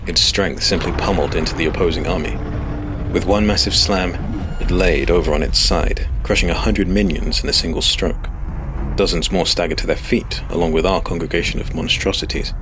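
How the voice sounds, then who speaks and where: A man with an extremely low voice narrates a fantasy story in the first person. His reading is scripted, serious and dramatic, with music clearly playing behind him.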